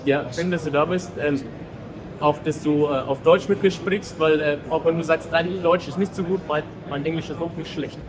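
A man hosting a podcast who talks in a friendly, relaxed way, with fillers. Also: fairly high pitch, moderate pace. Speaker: podcast host. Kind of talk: casual interview chat. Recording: crowd noise behind.

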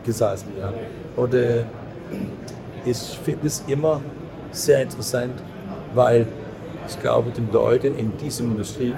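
A man talks as a podcast guest in hesitant, German, stopping often with fillers and restarts. His voice sits at an ordinary pitch for a man. A crowd murmurs clearly in the background. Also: poor audio. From Belgium. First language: not German. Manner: halting non-native German, many fillers and restarts. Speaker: podcast guest, editor of a print-industry film channel. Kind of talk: casual interview chat. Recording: crowd noise behind.

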